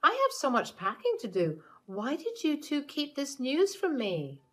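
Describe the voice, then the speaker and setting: A woman's voice: high-pitched, wildly swooping pitch, measured pace, pitched above the performer's own voice, exaggerated voice. A woman reading as a sneering, spiteful mother-in-law. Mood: excited. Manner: sneering, insulting. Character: spiteful greedy middle-aged mother-in-law.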